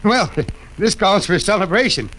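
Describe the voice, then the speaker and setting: A folksy father over sixty, chuckling, with a slightly high voice that bounces up and down. Light hiss runs under him, as on an old broadcast. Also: expressive, moderate pace, pushed and bright, low-quality recording. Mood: jovial. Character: jovial old father, proud navy family man. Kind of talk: 1950s radio drama acting.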